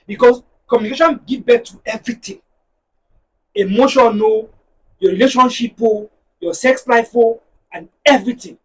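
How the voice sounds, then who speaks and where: A man with a Nigerian accent, a married guest on a discussion show, talking in an animated, preachy way and telling stories to make his points. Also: very high voice, normal speed, breathy edge, recorded from a distance, rough recording. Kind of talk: casual panel discussion.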